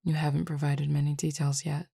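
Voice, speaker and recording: A woman voicing a composed, formal supernatural cupid roommate who speaks in precise, measured words and teases gently. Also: deep voice, flat delivery, gravelly, breathy edge, no echo, clean studio sound, exaggerated voice. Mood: calm. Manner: precise, formal wording, measured and unhurried, soft asides. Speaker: voice actor in an audio roleplay. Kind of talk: audio roleplay addressed to the listener.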